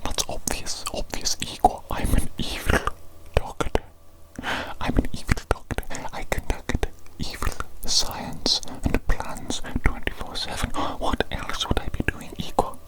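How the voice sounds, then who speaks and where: A woman's voice: unhurried, close to an everyday voice, poor audio. A woman whispering an ASMR roleplay as a pompous, condescending evil doctor, close to the microphone. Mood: exasperated. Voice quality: whispering throughout. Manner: haughty, self-important, easily exasperated.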